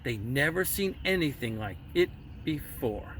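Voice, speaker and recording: Guy doing dramatic storytime reading, slow n bright, kinda far from the mic w faint noise. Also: mid-range voice, expressive. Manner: theatrical, deliberate, emphatic phrasing. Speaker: storyteller reading a children's book aloud. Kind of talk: dramatic children's story narration in rhyme.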